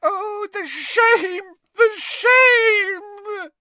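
Winky, a man doing a shrill weeping female house-elf. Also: lively intonation, unhurried, pushed and bright, dry recording, heavily acted. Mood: tearful distraught. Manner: broken grammar, wailing and sobbing. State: crying. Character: tearful, devoted female house-elf servant.

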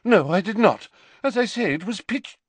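Male reader putting on a lofty dramatic witch-seer, high wavering voice, dry studio sound. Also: lively intonation, normal speed, breathy edge, no echo. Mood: haughty mystic. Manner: theatrical, mystical, swooping high and wavering. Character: eccentric haughty middle-aged woman seer, dramatic.

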